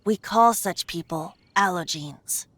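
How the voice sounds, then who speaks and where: A woman's voice: fairly low pitch, slow pace, fairly bright tone. A woman playing a youthful, whimsical male bard who is secretly a god, with a theatrical, confiding delivery for a video game. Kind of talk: scripted video game voice acting.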